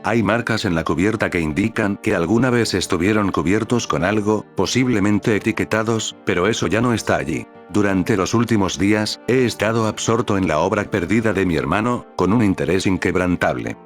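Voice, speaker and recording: Deep-voiced man narrating a creepy tale. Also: fairly quick, hardly any pauses, soft background music. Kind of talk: scripted narration of a creepy story.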